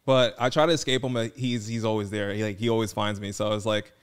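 A man in his twenties co-hosting a podcast, chatting playfully and teasing, recorded on a boom mic in a studio. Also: little pitch movement, fast talker, smooth. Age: 20s. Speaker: podcast co-host. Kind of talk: casual chat. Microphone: boom mic on stand.

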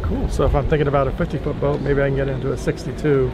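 A middle-aged man hosting a boating vlog, outdoors on a docked yacht at a marina, upbeat and quick to ask leading questions. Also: medium pitch, steady tone, very noisy. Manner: upbeat, leading questions, hyped sign-offs. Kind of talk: enthusiastic boat-show walkthrough.

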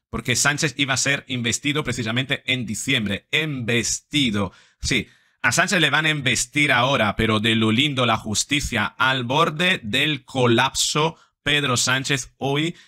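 A man in his forties talks close to the camera in a small room, reading out letters and headlines and breaking in with sarcastic remarks. His voice is very bright and sharp, with an emphatic, pointed delivery. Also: mid-range voice. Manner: emphatic, sarcastic asides between read quotes. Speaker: commentator. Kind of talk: political commentary reading documents.

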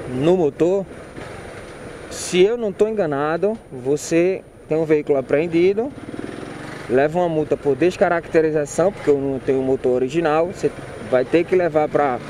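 A man talking casually and informally while riding a motorcycle in city traffic, repeating himself and using slang. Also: fairly high pitch. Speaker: motovlogger. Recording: loud road and wind noise. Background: loud traffic and engine noise.

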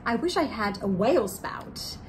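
High, lively young woman with British accent. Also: measured pace, faint music behind. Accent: British, put on. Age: young adult. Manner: genteel, expressive. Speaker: children's storybook reader, actor. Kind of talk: theatrical read-aloud with playful banter. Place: living room with a piano. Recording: faint background room noise.

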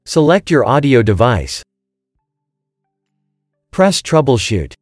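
A man's voice: medium pitch, sing-song, slow pace, darkish tone, no room sound, clean studio sound. A man reading scripted step-by-step instructions in short commands, as a tutorial narrator. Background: background music.

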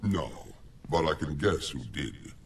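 A man playing a sinister, haughty god of war in a video game, with sly and deliberate menace. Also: extremely low pitch, sing-song, vocal fry, slight background noise, heavily acted. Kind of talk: dramatic video game villain acting.

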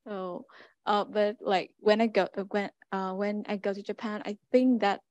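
A young woman from Vietnam speaks English as a second language on a student panel. She pauses and restarts often, speaking into a handheld mic with a dry sound. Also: mid-range voice, flat delivery, a little mellow, no echo. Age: young adult. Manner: hesitant, frequent restarts. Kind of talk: casual panel answers. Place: indoor meeting room.

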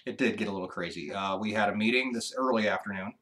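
A man in his forties co-hosting a casual ranch livestream from a living room couch, talking in an easygoing, halting way with lots of fillers. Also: on the low side, a bit bright. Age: 40s. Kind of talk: casual live chat.

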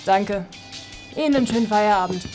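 An actress playing a composed, dry police officer in a horror radio drama, probing and matter-of-fact. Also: female voice, medium pitch, fairly quick, darkish tone, faint music behind, natural voice. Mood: casual.

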